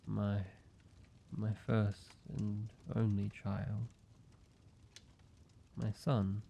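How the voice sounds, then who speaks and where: A man voicing an ancient vampire in an intimate roleplay audio, speaking in a measured, halting, solemn way, like a weary father telling his past. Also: fairly low pitch, little pitch movement, creaky, warm tone, a little hiss or hum, heavily acted. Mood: grave, patient.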